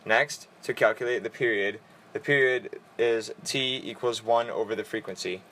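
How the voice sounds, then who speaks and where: A teenage boy reading vocabulary and equations aloud for a school presentation, in a steady, orderly way. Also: on the low side, moderate pace, slight background noise, poor audio. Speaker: student presenter.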